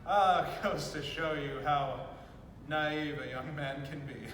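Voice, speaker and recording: Male, acting: elderly British gentleman general, flustered and courtly, slow halting delivery, distant in an echoey hall. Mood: polite, awkward. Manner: halting, stammering, formal old-fashioned politeness. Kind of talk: student stage play acting. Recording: echoey hall, distant mic, faint rumbling noise.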